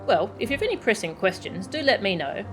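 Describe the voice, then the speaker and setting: A woman's voice: medium pitch, sing-song, a little fast, a bit bright. A woman voicing a polite, attentive guide in a video game, speaking in a formal, solicitous manner. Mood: welcoming. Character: polite, attentive guide addressing a small familiar. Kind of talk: video game dialogue read aloud. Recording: game music behind the voice.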